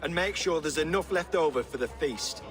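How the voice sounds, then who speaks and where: A man's voice: on the high side, moderate pace, lightly acted. An actor playing a weary, irritable Viking king in a video game, curt and imperious. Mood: commanding. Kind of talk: dramatic video game acting. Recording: music underneath.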